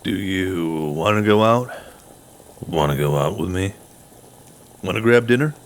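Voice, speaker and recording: Man playing a low, slow, awkward guy. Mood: self-mocking. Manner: hesitant, halting. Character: awkward, gruff, tongue-tied man, shy with his crush. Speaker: voice actor in audio drama. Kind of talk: audio drama dialogue.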